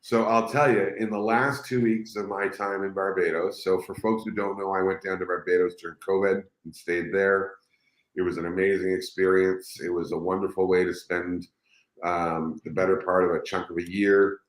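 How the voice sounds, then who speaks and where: A man's voice: deep voice, flat delivery, moderate pace. Enthusiastic man hosting in an echoey room. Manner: enthusiastic, rambling, restarts sentences. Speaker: host. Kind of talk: live show interview.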